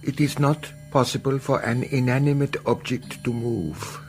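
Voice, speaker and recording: An actor in a radio drama playing a frightened, erratic foreign European professor, courteous but halting, stammering and repeating himself. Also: male voice, mid-range voice, fairly even intonation, a little mellow, faint music behind, natural voice. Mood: agitated, halting.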